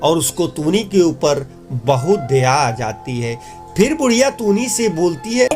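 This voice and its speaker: A man's voice: fairly high pitch, sing-song, a little slow, darkish tone, soft background music. A man narrating a children's cartoon moral story in an earnest, explanatory way.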